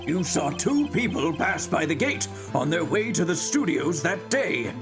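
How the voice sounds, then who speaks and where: A man's voice: a little high, very animated, measured pace. A man voicing a stern but whimsical male courtroom judge in an amateur video game read. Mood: pondering. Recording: game music under the voice.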